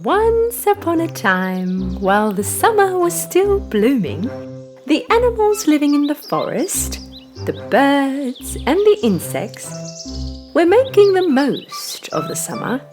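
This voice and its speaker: A woman's voice: high-pitched, wildly swooping pitch, takes it slowly. A woman narrating a scripted children's story as a storyteller. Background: background music.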